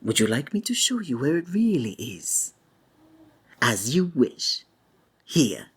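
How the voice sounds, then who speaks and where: A woman playing a flamboyant, sinister male stage magician in an ASMR roleplay, with breathy, honeyed, flowery theatrical speech that often drops into a whisper. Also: extremely low pitch, very animated, takes it slowly, exaggerated voice. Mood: showy, silky.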